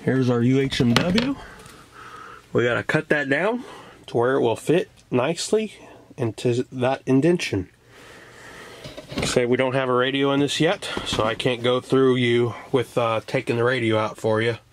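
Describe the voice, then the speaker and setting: A man's voice: mid-range voice, normal speed. A man hosting a casual hands-on how-to vlog, explaining in short plain steps into a handheld camera. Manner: plainspoken, short step-by-step phrases. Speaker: DIY vlog host. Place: outdoors in a yard and in a parked vehicle. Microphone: handheld camera at arm's length. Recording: clear ambient noise from handheld camera.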